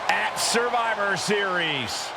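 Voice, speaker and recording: Male wrestling commentator, very high voice, emphatic play-by-play, loud noise behind. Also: slow, gravelly, a loud crowd. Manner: excited, emphatic.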